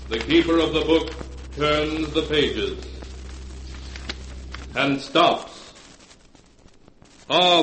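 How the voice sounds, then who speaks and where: A man narrating a 1940s radio horror series as announcer, solemn and portentous, with dramatic pauses. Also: fairly high pitch, a bit bright, a little hiss or hum. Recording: reverberant old 1940s radio broadcast.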